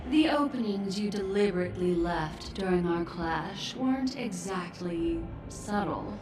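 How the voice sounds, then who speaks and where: An actress playing a composed, shrewd video game woman who speaks in a measured, coolly pointed manner. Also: lowish voice, lively intonation, background noise. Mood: calm, accusing. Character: composed, shrewd, cool-headed woman facing her old betrayer. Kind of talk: dramatic video game voice acting. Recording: echoey, distant, music underneath. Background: game music.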